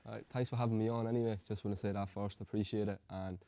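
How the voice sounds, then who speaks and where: Low, dark-voiced young man. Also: fast. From Hungary. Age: young adult. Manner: relaxed, rambling storytelling with Irish slang. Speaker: amateur MMA fighter. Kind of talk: casual interview answers.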